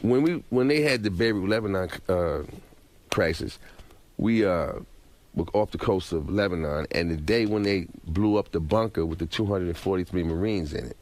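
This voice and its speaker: A man's voice: sits low, moderate pace, darkish tone. A middle-aged man chatting casually as an interview guest, in a colloquial, streetwise way, often tacking on "man" and "you know". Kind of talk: casual radio interview chat.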